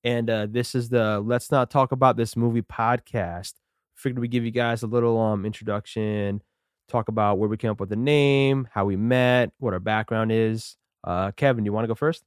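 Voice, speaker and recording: Man, 30s, podcast co-host, low smooth voice, casual rambling delivery. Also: steady tone, moderate pace, no room sound, clean studio sound. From Connecticut, USA. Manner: laid-back, rambling, lots of fillers. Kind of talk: casual chat.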